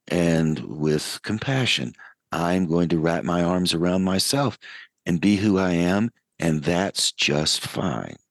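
A middle-aged man hosting a radio talk show, closing an interview and then reflecting in an unhurried, storytelling way. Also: low-pitched, no room sound. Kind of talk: interview wrap-up and reflective monologue.

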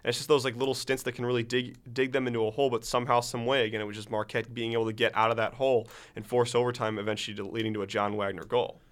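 A man's voice: mid-range voice, flat delivery, rapid-fire, few pauses. A young man hosting a casual podcast, talking eagerly in long run-on sentences with lots of "like.". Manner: eager, run-on talker who fills with "like". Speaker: podcast host.

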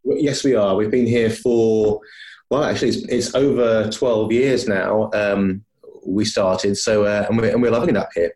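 Low-voiced English businessman, thoughtful. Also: fairly even intonation, moderate pace, a little mellow, poor audio. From London, England. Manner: thoughtful, hesitant with many restarts. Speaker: company founder, podcast guest. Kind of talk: conversational interview.

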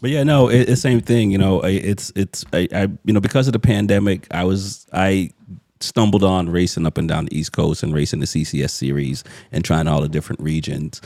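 A man with a very low voice hosts a casual conversation. He rambles, doubling back on words, and laughs readily. The sound is dry, with little room in it. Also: steady tone, a little fast, warm tone, dry recording. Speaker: show host. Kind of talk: casual chat.

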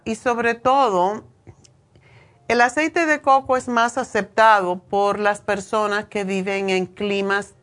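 A woman's voice: medium pitch, a bit bright. A woman from Cuba in her sixties with a Cuban Spanish accent, giving an informal, opinionated health talk with many hesitations. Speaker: nutrition show host.

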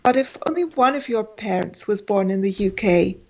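A woman's voice: mid-range voice, moderate pace, a little mellow, highs cut off. A woman in her forties, a guest in an interview, speaking with many fillers and restarts. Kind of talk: conversational interview.

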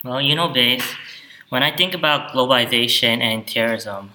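A man's voice: medium pitch, moderate pace, sharp tone. A young man from the United States, a guest in a radio panel discussion, talking with many fillers and hesitations. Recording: echoey room.

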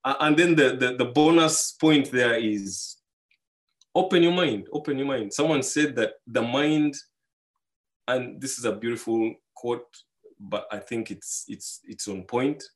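A man giving money advice in a relaxed, rambling way, with fillers and restarts, lifting his voice for key points. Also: medium pitch, measured pace. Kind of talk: casual advice talk.

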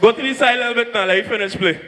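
A man from Trinidad working as a sound system selector, shouting hype calls to the crowd during a live sound clash. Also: sits high, rapid-fire. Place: packed dance hall at a live event. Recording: live hall reverb with music.

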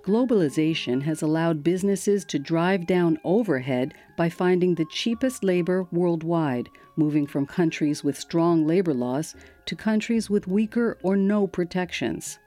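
A woman hosting a radio program, reading scripted narration in a measured, even way. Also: lowish voice, soft background music. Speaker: radio host.

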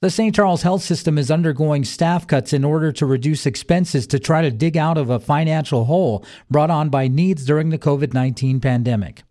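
A man's voice: a little high, fairly quick, few pauses, dark tone. A man reading a scripted local news and sports bulletin as the newsreader. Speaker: local radio news reader.

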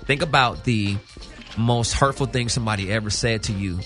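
A man's voice: lowish voice, moderate pace, fairly bright tone, faint music behind. A man chatting casually as a radio interview guest, emphatic and slangy, repeating himself and checking in with the listener. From South Central Los Angeles, California. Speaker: guest, music artist. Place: radio show studio.

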